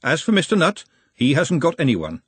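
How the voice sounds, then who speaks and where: A man voicing a blunt, bossy but caring working-class woman in a storybook, brisk and scolding. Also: fairly high pitch, wildly swooping pitch, fairly bright tone, husky, no room sound, pitched above the performer's own voice, heavily acted.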